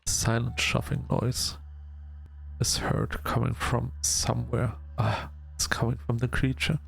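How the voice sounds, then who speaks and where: A man reading a visual novel aloud as narration, with casual asides and some halting restarts. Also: mid-range voice, flat delivery, creaky, slightly rough.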